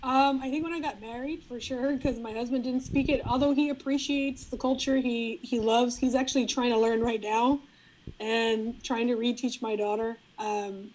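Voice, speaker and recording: A middle-aged woman whose first language is Spanish, talking as a guest in a relaxed, reflective interview, often restarting her sentences. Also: a little high, moderate pace, a little hiss or hum, rough recording. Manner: reflective, frequent restarts and fillers. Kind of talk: casual interview conversation.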